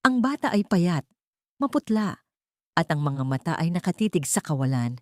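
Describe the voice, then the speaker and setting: A woman's voice: on the low side, a little mellow, no echo, clean studio sound. A woman narrating a scripted dramatic audio story in a solemn, emotive manner.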